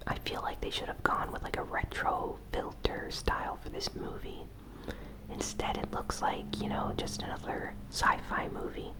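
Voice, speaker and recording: A host whispering a gaming news recap in a soft, breathy ASMR style, with an unhurried, conversational and slightly hesitant delivery. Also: low-quality recording.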